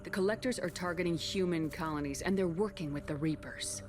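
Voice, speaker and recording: A woman's voice: lowish voice, brisk, over music, lightly acted. A woman playing a resolute military commander, firm, controlled and serious, in a dramatic video game. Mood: firm, insistent.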